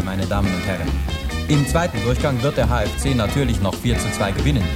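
Actor as male co-commentator, florid, enthusiastic, radio play, medium pitch, loud music behind. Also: lightly acted. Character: smooth, enthusiastic male TV football co-commentator selling the show. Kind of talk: sports broadcast commentary in radio drama.

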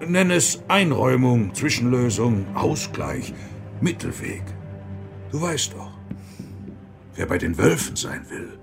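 An actor in a German radio play playing a defiant, wry monk and church reformer, gravelly and musing, halting for effect. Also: male voice, mid-range voice, sing-song, music in the background, exaggerated voice. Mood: wry, resigned.

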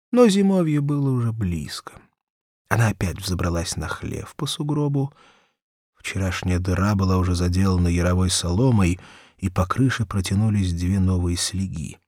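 A man narrating a children's story as an audiobook, theatrical and expressive, with dramatic pauses and emphasis. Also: sits low, dry recording, studio quality.

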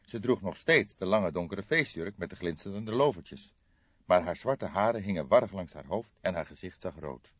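A man with a low voice narrates crime fiction dramatically. His pitch moves in a lively way, and the recording is very dry and slightly dull. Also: moderate pace, husky, dry recording. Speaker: audiobook narrator.

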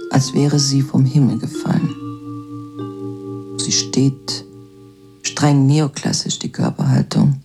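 A man narrating a literary radio drama in a measured, detached, observational way. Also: medium pitch, steady tone, gravelly. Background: mallet percussion music.

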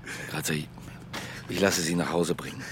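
An actor playing a calm, caring police chief inspector in a German radio crime drama, with a matter-of-fact, understated delivery. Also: male voice, low-pitched, normal speed, a little hiss or hum. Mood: calming, firm.

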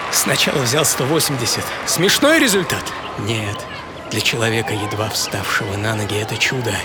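Актёр играет упорного чемпиона по прыжкам в высоту, театрально, с драматическими паузами рассказывающего о себе в радиоспектакле. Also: male voice, mid-range voice, close to an everyday voice. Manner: theatrical, with dramatic pauses. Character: champion high jumper man reliving triumph and injury, intense, defiant. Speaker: actor and author of the programme. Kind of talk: dramatic first-person radio monologue. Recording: studio voice over prominent music.

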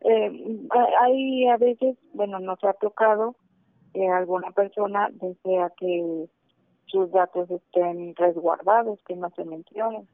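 A woman's voice: mid-range voice, fairly even intonation, slow, no echo. A Mexican woman answering as a phone agent, reserved and tentative, with brief acknowledgements and short replies. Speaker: human rights commission phone agent. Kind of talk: phone call responses. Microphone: telephone. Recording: narrow, dry telephone line.

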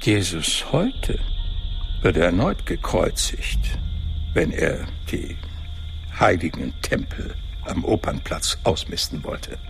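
A man's voice: low voice, big pitch swings, takes it slowly, vocal fry, soft background music, exaggerated voice, low-quality recording. A man in a radio drama playing a learned cleric who lectures in a didactic way. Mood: lecturing. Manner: learned, didactic, quotes Latin. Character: scholarly male cleric, lofty and sermonizing. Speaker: churchman at a forum.

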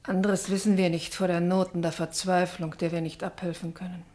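A woman's voice: fairly low pitch, level tone, brisk, darkish tone, lightly acted. An actress playing a middle-aged pastor's wife grieving for her daughter in a German radio drama, anguished, bitter and brooding. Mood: bitter grief. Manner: anguished, brooding, partly whispered.

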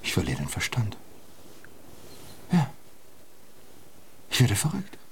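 A man's voice: on the low side, wildly swooping pitch, takes it slowly, vocal fry, slight background noise, heavily acted. An actor in a naturalistic radio drama playing a distracted, flirtatious man who halts and searches for words. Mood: bewildered. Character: distracted, flirtatious man, brother and obsessive contest winner.

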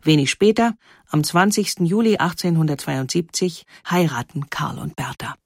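A woman narrating a scripted historical documentary in a measured, clearly phrased storytelling manner. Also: low-pitched, expressive, no room sound, clean studio sound. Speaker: narrator of a historical audio feature.

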